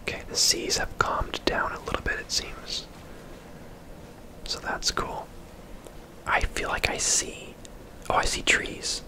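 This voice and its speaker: An ASMR video host whispering casual, rambling gameplay commentary in a breathy, unvoiced voice. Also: slow pace, pauses often, rough recording. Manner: soft-spoken, rambling, casual.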